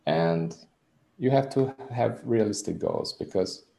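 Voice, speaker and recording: A deep, gravelly man with a Hungarian accent talks as a guest in a relaxed interview. His voice sits low and dark, with a gruff edge. He explains things earnestly and at length, often restarting his sentences. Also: slow pace. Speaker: musician guest. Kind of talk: casual interview chat.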